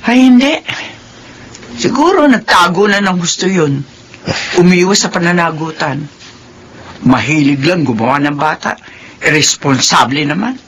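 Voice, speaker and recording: Casting: exasperated Filipino grandparent, high-pitched, sharp, protective, radio drama. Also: male voice, animated, measured pace, long pauses, slightly rough, heavily acted. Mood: scornful.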